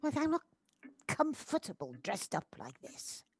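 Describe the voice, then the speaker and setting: A woman's voice: sits high, huge pitch swings, a little slow, no room sound, heavily acted. An amateur actress playing a reserved, self-doubting older woman in an audio drama, kindly but wounded and hesitant. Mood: uneasy. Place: recorded at home on a personal device.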